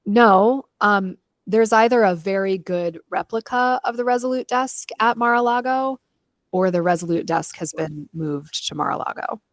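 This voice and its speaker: A woman's voice: medium pitch, normal speed, no room sound. A woman co-hosting a book club podcast, moving between casual, opinionated chat and read-aloud chapter summaries with dry humor and easy swearing.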